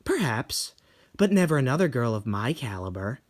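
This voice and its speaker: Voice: mid-range voice, very animated, moderate pace. A performer voicing a snobby, beautiful young woman in a horror story, haughty and theatrical, drawing out words. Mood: smug, coy.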